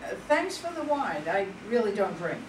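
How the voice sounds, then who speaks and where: A woman playing a tough but soft-hearted older politician in an amateur play reading, dry and wry. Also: medium pitch, sing-song, moderate pace, close to an everyday voice. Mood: gracious. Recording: distant, echoey room, faint noise.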